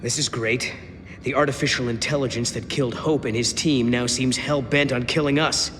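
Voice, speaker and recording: A man's voice: medium pitch, fairly even intonation, moderate pace, a little hiss or hum, natural voice. Young game hero played by a man, reverberant. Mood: wry. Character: determined young male hero, thoughtful and wry. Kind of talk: video game cutscene acting. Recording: reverberant game audio under loud music.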